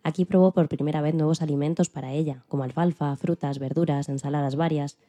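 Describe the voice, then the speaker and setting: Very mellow, low-voiced woman narrator. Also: steady tone, fast talker. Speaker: podcast host. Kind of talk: scripted narration.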